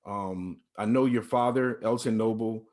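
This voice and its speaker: A man's voice: lowish voice, a little slow, a little mellow. Encouraging rambling male host. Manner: rambling, repeats phrases, encouraging. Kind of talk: casual church-music interview.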